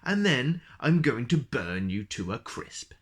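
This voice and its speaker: Storybook dragon, male, booming, big-voiced bully, put on by a man. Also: very animated, unhurried. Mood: menacing. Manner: booming, bellowing. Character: huge fire-breathing male dragon, blustering.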